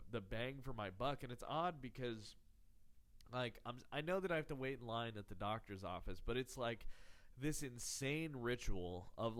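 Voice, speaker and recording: A man's voice: mid-range voice, clean tone. Nasal, congested man rambling sarcastically. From Texas. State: congested from illness. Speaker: podcast host. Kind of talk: casual comedic monologue.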